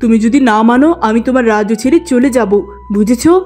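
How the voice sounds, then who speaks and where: A woman's voice: on the high side, a little fast, darkish tone, soft background music, lightly acted. A woman voicing a devoted, defiant young prince in a cartoon fairy tale.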